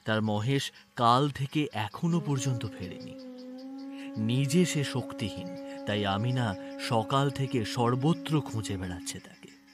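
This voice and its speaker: A man narrating a literary story dramatically, in a measured and expressive way. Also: mid-range voice. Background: background music.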